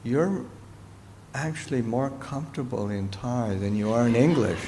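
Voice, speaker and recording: A middle-aged Canadian-raised monk answers questions slowly and thoughtfully, pausing often. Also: male voice, medium pitch. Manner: slow, halting, thoughtful speech with pauses. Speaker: Buddhist monk and teacher. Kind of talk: Dharma talk Q&A answer. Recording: reverberant hall with faint noise.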